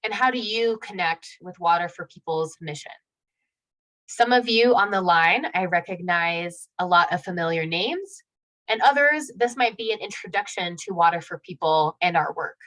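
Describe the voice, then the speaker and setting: A woman's voice: lowish voice, animated, normal speed, a bit bright, rough recording. A woman speaking as host of an online event, giving prepared remarks to the audience.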